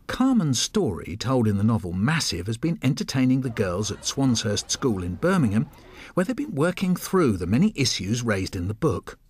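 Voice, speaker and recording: A woman's voice: rumbling low, sing-song, normal speed, darkish tone, breathy edge. A woman presenting a programme, narrating from a script and putting questions as an interviewer.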